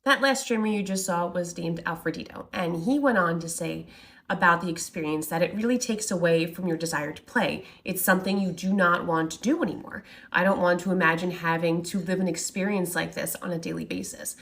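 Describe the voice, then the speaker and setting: A woman with a slightly low voice gives conversational commentary. She presents like a host, dropping in wry asides and rhetorical questions as she goes. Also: fairly quick, fairly bright tone.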